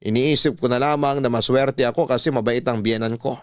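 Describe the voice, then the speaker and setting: A man narrating a listener's letter in a radio drama, reading in a steady, measured way. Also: medium pitch, no room sound, studio quality.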